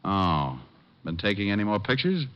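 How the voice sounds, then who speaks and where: An actor playing a sardonic American private detective in old-time radio drama, narrating and talking in a dry, flippant manner. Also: male voice, fairly low pitch, sing-song, normal speed, heavy background noise. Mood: breezy, puzzled. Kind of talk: 1940s-50s radio detective narration and acting. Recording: dull old-time radio, music behind.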